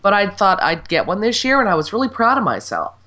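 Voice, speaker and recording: A woman's voice: mid-range voice, sing-song, quick pace, bright, forceful tone, slight background noise. A woman co-hosting a casual chat, stuffy and congested from a cold, talking in a blunt, teasing way and swearing freely. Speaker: podcast co-host and radio presenter.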